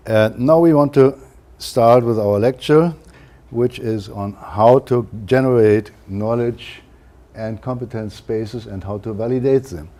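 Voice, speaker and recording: A man with a German accent, a native German speaker giving a university lecture in a careful, halting way with frequent fillers. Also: medium pitch, mellow. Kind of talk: academic lecture.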